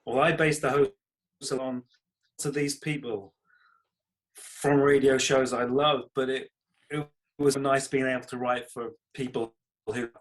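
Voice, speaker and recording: A man's voice: mid-range voice, fairly even intonation, a little slow, pauses often, rough recording. A man with a British accent, talking casually and modestly about his own creative work as an interview guest. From United Kingdom. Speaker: podcast guest, comedy writer and creator.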